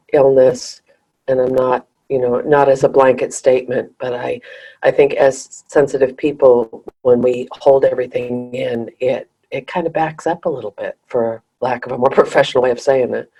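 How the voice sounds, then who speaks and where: A woman's voice: extremely low pitch, fairly even intonation, moderate pace, dark tone, breathy edge, rough recording. A woman co-hosting a spiritual talk show, thoughtful and agreeable in casual chat. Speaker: co-host.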